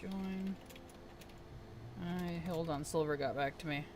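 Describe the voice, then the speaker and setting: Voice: medium pitch, slow pace, noisy background. A game streamer chatting casually on a live stream, reading chat aloud and muttering while playing, with a voice worn weak and strained. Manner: soft-spoken, reads chat aloud and mutters to self.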